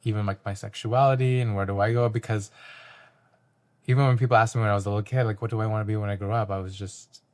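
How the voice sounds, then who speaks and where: A young man from Canada, a guest artist, talking in a relaxed, reflective way with frequent ums. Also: on the low side, fast. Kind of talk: casual interview talk.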